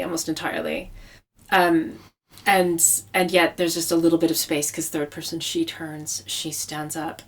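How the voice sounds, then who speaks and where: Woman, lowish voice, novelist interviewee, conversational, explanatory, emphatic repetition. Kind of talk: conversational interview about writing craft.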